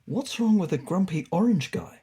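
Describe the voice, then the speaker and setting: A narrator plays a brash male cat from the city in a storybook tale. He talks like a confident salesman, chatty and full of opinions, with very lively swings of pitch. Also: moderate pace, breathy edge. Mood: brash, opinionated.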